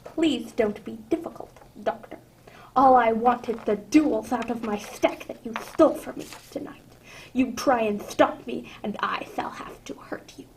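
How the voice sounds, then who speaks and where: A woman's voice: fairly high pitch, normal speed, in a whisper, natural voice. A woman playing a menacing, impatient criminal boss in a radio drama, curt and threatening. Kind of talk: amateur radio drama acting. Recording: faintly noisy amateur recording.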